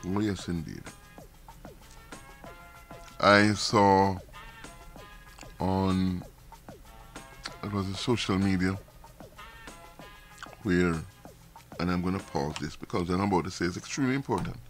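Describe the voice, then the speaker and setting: A deep-voiced man hosts a live stream in a loose, rambling way, doubling back on his words and restarting sentences. His voice sounds a little nasal and his throat is irritated from dust. Faint music plays behind him. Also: a little slow, pauses often. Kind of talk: casual monologue to viewers.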